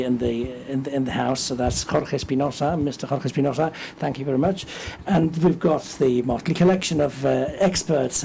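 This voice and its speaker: A man from England, hoarse as he loses his voice, hosts an event with a gravelly, mellow tone. He welcomes the crowd and introduces a panel of experts, joking at his own expense and stumbling into many restarts. A faint noise sits under his voice. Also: a little high, poor audio. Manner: chatty and self-joking, with many fillers and restarts. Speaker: institute director hosting the event.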